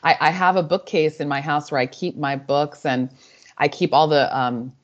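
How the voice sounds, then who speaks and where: A woman in her forties with a deep, low voice, a native Spanish speaker, answers interview questions with warm, reflective storytelling. She often restarts her sentences as she thinks aloud. The audio is slightly dull and lo-fi, like a remote call. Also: steady tone, normal speed. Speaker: author and guest.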